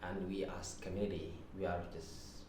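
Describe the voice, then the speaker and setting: A man's voice: low voice, little pitch movement, moderate pace. A man in his thirties from Sudan with a Sudanese Arabic accent, a native Arabic speaker, hosting an informal podcast interview. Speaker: podcast host. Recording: echoey room, distant mic, background noise.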